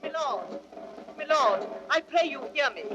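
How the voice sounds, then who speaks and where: A woman's voice: sits high, animated, measured pace, over music. A garrulous tavern hostess, scolding and indignant in a vintage radio play. Her voice swings widely as she protests, and the recording is slightly muffled. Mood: complaining. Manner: flustered, protesting. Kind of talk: old radio Shakespeare acting.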